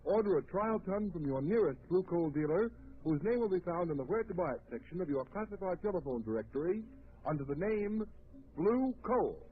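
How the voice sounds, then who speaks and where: A man reading a scripted radio commercial as the announcer, in a brisk, polished sales delivery. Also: high voice, animated. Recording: tinny old radio transcription with faint hiss.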